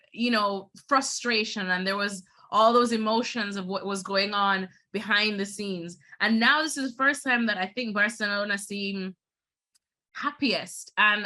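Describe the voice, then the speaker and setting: A woman hosting a football talk show, chatting with enthusiasm in a slightly hoarse voice. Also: mid-range voice, bright, forceful tone. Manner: chatty, enthusiastic, many fillers. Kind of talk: casual football chat.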